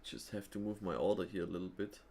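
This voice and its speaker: A man's voice: low voice, fast talker, vocal fry, darkish tone, slight background noise. A man hosting a live trading stream, chatting casually with viewers and thinking aloud with fillers and easy laughs.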